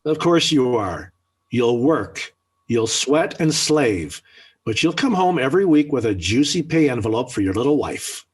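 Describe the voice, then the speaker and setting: Blustery American radio sitcom dad, husky. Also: male voice, mid-range voice, big pitch swings, unhurried. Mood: lecturing. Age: middle-aged. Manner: pompous, mock-military speechifying, emphatic. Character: smug scheming family father, blustery and theatrical. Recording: old 1940s radio recording.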